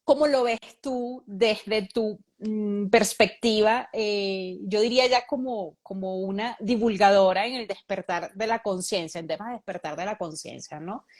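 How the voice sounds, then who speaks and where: A woman hosting an interview, asking long questions in a thoughtful, measured way and pausing to search for words. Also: medium pitch. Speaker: host, reading mediator.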